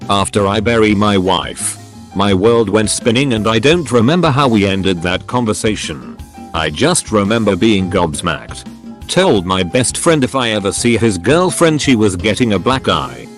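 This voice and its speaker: A man narrating short online stories in a scripted, recited read-aloud style. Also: fairly low pitch. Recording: music behind the voice. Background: background music.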